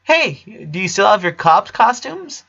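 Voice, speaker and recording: A man's voice: high-pitched, sing-song, measured pace, vocal fry, pushed and bright, highs cut off, voice pushed up, heavily acted. A man voicing a kindly, playful eleven-year-old big brother in a story, coaxing and dramatic.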